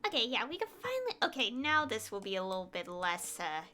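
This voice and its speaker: High-pitched, animated young woman streaming. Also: quick pace. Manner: rambling, hesitant, thinking aloud. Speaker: game streamer. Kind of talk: casual live gameplay chat. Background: game music.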